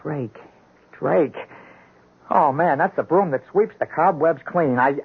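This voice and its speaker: An actor in a 1970s radio drama playing a hapless, earnest drunkard with slangy, stammering, ungrammatical speech. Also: male voice, fairly high pitch, slow, creaky, breathy edge, heavily acted. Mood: excited, stammering. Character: hapless drunkard fall guy, earnest street fellow. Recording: muffled, thin vintage radio recording.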